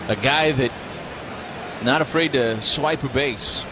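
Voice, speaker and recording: A man's voice: medium pitch, slow, background noise. A man doing live radio play-by-play commentary as a baseball announcer.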